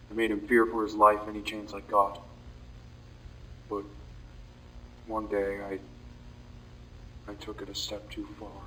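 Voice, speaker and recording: Casting: male actor as brooding, remorseful young man for horror audio drama; low, flat, halting delivery, captured off-mic with faint noise. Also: far from the mic, rough recording. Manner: somber, halting, confessional with heavy pauses. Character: guilt-ridden, brooding young man confessing dark memories. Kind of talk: scripted audio-log monologue, horror fan drama.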